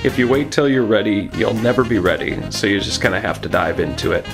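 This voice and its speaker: A man's voice: fairly low pitch, expressive, fast talker, music in the background. A man giving informal, encouraging instructional narration as a puppetry teacher, in a conversational way.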